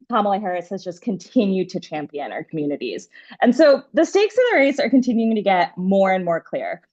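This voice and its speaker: A woman's voice: lowish voice, a little mellow. A woman whose first language is Bengali, a campaign organizer giving an enthusiastic talk on an organizing call. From Bangladesh. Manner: fast, enthusiastic, upbeat talk with restarts. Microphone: video or phone call. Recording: dull lo-fi call audio.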